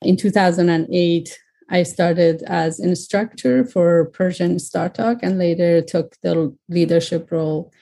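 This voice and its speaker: A woman panelist giving a measured, slightly formal answer about her work in education. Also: fairly low pitch, steady tone, gravelly, mellow. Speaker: panelist, language school vice principal.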